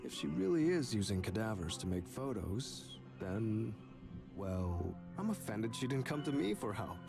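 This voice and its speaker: A man playing a morbid, unscrupulous morgue worker in a visual novel, talking in terse, deadpan phrases. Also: lowish voice, a little slow, vocal fry, heavily acted. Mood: casual, morbid. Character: morbid, unscrupulous male morgue worker, laid-back admirer of killer. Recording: music behind the voice.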